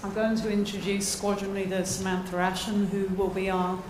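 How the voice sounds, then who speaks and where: Australian woman host, formal and measured; far from mic, echoey room, noisy. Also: medium pitch, little pitch movement. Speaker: event host. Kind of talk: formal announcement. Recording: echoey hall, distant mic.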